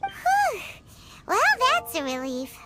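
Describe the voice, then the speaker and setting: A woman voicing a small, excitable fairy girl in a video game, childlike and squeaky, chatty and curious. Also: takes it slowly, heavy background noise, exaggerated voice. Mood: curious, excited. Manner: bubbly, exclaiming, curious.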